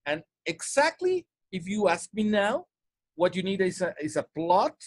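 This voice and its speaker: A man's voice: high-pitched, big pitch swings, a little slow, no echo, studio quality. A man from Mexico with a Spanish-tinged English accent, coaching on sales in casual chat, often restarting and repeating words. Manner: repetitive restarts, stumbling over words.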